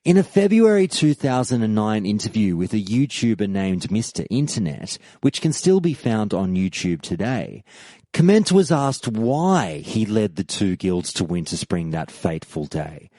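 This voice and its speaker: A man in his thirties tells a history story from a script. His mellow voice swings widely in pitch, and the room is dry and close. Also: big pitch swings, moderate pace, no echo. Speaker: podcast host.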